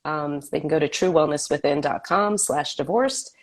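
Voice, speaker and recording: Low-voiced woman with a cold. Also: moderate pace. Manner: upbeat, promotional. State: has a cold, sniffling. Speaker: health coach, guest. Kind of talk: casual interview chat.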